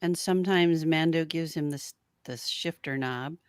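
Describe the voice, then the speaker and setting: A woman's voice: low voice, measured pace. A woman co-hosting a live podcast, chatting casually and musing aloud, often trailing off mid-thought. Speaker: podcast co-host. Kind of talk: casual fan chat on a live stream.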